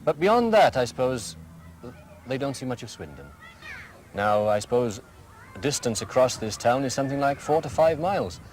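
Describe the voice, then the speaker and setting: Lively Welsh headmaster explaining patiently. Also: male voice, mid-range voice, slight background noise. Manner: measured, explanatory, full of examples. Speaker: school headmaster. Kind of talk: archive community TV interview answers.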